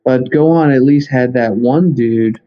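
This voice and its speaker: A man talking casually as a podcast guest, halting and thinking aloud as he goes, recorded remotely on a computer mic. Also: mid-range voice. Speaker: podcast guest, anime fan expert. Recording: dull, lo-fi computer call audio.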